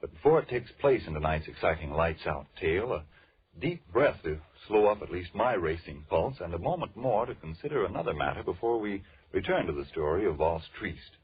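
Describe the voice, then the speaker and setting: Male announcer for 1940s radio: very husky, widely swinging delivery, dramatic salesmanship, tinny vintage recording. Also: sits low, sing-song, fairly quick. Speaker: announcer and narrator. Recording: narrow lo-fi old radio recording.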